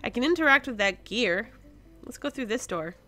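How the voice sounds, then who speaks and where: A woman's voice: on the high side, animated, moderate pace, bright, forceful tone, faint music behind. A woman giving casual let's play commentary as host, thinking aloud and exclaiming in surprise as she goes. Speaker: host of a gaming series.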